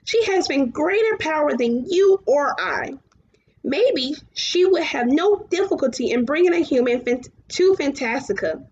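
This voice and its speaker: Woman playing a gentle male storybook dragon, coaxing, very high lilting voice, muffled recording. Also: lively intonation, unhurried. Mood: earnest, coaxing. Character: gentle, earnest luck dragon companion, male. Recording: muffled, clearly noisy home recording.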